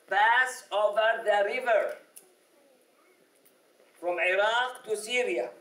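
A man's voice: sits high, fairly bright tone. A middle-aged man, a native Arabic speaker, giving a classroom lecture in English and often checking in with "okay?" and "yani". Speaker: religious teacher. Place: classroom with whiteboard. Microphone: lapel mic. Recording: echoey room, from a distance.

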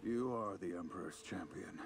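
A steady knight commander in a video game cutscene. His voice sits a little low. He speaks in a measured, earnest manner. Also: background noise. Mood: earnest, assured.

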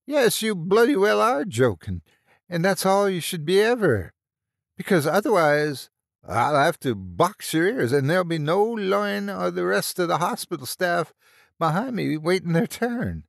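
A man's voice: mid-range voice, very animated, unhurried, creaky, no echo, clean studio sound, voice pushed up, heavily acted. A man voicing a fierce, motherly old Irish woman, a salty, scolding hospital nurse in an audio story. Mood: alarmed, angry.

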